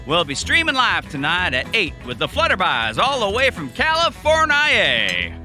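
An upbeat small-town radio promoter in an audio drama. The voice is high for a man and very bright, with pitch that moves in lively swings. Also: full character voice. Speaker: radio announcer.

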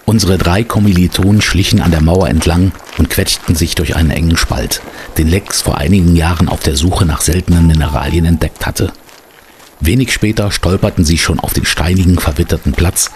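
A man narrating an adventure radio play in a measured storybook manner. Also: low voice, darkish tone, a little hiss or hum, close to an everyday voice. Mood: measured storytelling. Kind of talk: scripted audio drama narration.

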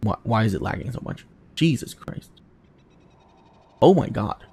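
A man's voice: on the low side, very animated, unhurried, creaky, warm tone, husky. A man casually commenting on his video game run, with chatty and exasperated asides. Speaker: gaming channel host.

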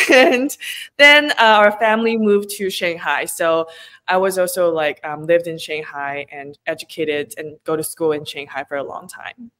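A woman's voice: on the low side, moderate pace, fairly bright tone. A woman in her twenties from China, a guest chatting casually on a video call from her home, talking with many fillers and frequent laughs. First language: Chinese. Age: 20s. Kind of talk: casual interview chat. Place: home room with kitchen shelves. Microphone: video call, laptop camera.

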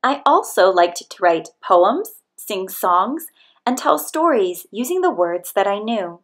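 A woman reading a children's picture book aloud as scripted narration, with expressive, deliberate storytelling delivery. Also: medium pitch. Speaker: storybook reader.

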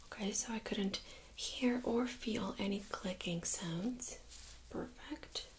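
A young woman speaks in a soft, breathy, whispery voice, gently and politely giving step-by-step instructions in an ASMR medical role-play. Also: mid-range voice, slow. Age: young adult. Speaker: ASMR performer playing a medical examiner. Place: small indoor studio room.

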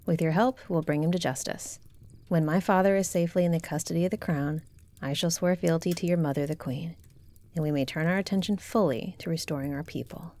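Woman acting a deep-voiced, grave noblewoman. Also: mellow, natural voice. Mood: solemn resolve. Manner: formal, solemn, deliberate. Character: grave, dignified noblewoman, rebel daughter of a tyrant. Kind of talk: fantasy audio roleplay acting.

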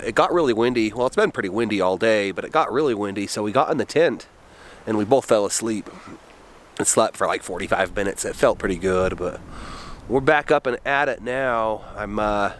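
A man in his forties giving a relaxed, rambling camp update outdoors, talking close to a handheld camera. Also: on the low side. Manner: relaxed, chatty, rambling with fillers. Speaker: backpacking vlogger. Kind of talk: casual outdoor vlog. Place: outdoors in a windy mountain forest camp. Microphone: camera held close. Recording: faint wind noise outdoors.

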